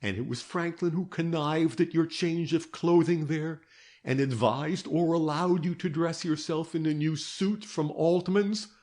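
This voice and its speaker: A stern and patient old detective from a period mystery, pressing each question with grave insistence, as a male reader puts him on. He speaks slowly and deliberately, a little raised above the reader's own tone. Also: fairly high pitch, a little mellow, pitched above the performer's own voice, lightly acted.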